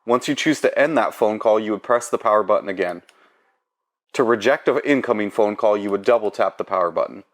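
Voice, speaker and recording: A man's voice: lowish voice, fairly quick. A man presenting an instructional product tutorial in a plain, procedural way.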